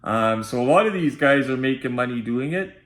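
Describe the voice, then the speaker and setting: A man's voice: mid-range voice, expressive, a little fast, fairly bright tone. A man with a nasal voice, chatting informally to viewers as he hosts a casual unboxing vlog. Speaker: video host and collectibles unboxer. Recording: roomy, echoey sound.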